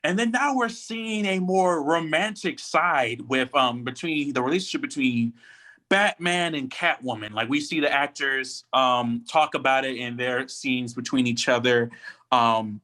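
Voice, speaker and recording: A man's voice: mid-range voice, measured pace, sharp tone. A man chatting casually as a podcast co-host, giving his commentary. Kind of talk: casual chat, pop culture commentary.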